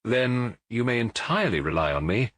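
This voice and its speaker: An obliging Victorian gentleman acted by a male narrator, loyal and attentive, with an English accent. He speaks briefly and questioningly in a faintly husky voice, with expressive pitch and a dry studio sound. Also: moderate pace, no room sound. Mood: obedient, attentive. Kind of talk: audiobook character acting.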